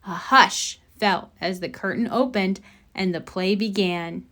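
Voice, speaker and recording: A woman's voice: medium pitch, measured pace, a bit bright. A woman reading a children's picture book aloud as a storybook narrator. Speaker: storybook reader.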